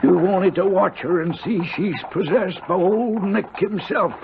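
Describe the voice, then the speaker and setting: An actor playing a chatty older hired driver in a 1970s radio drama, with a creaky voice and folksy, rambling, superstitious country talk. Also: male voice, sits high, measured pace. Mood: spooky, ominous. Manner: folksy, rambling, old-fashioned rural turns of phrase. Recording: noisy, narrow-band old radio broadcast.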